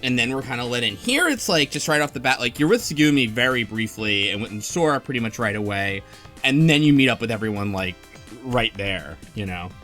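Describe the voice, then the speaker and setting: A man chats casually as a podcast co-host in a mid-range voice. His pitch moves around in a lively way, and faint music plays in the background. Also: fairly quick. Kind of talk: casual chat.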